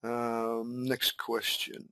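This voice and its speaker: A man whose first language is Welsh runs a live online class, reading questions aloud and answering them at length. He talks in a chatty way and repeats his points, and his pitch sits in an ordinary middle range. It sounds like he is on a computer mic during a call. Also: completely flat delivery, drawn-out words, bright, forceful tone, clear, resonant tone. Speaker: webinar host and marketing coach. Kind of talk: live webinar Q&A.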